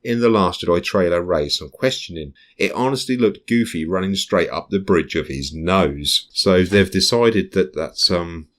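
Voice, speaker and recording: A man with a low, deep voice hosts a relaxed fan podcast. He reads news snippets aloud, then drifts into hesitant musings full of ums. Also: moderate pace, few pauses. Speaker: podcast host. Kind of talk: casual chat, reading news aloud.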